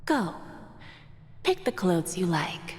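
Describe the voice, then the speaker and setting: An actress voicing a commanding, quietly menacing female envoy in a game cutscene, speaking in a soft, condescending half-whisper. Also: fairly low pitch, very animated, takes it slowly, long reverb tail.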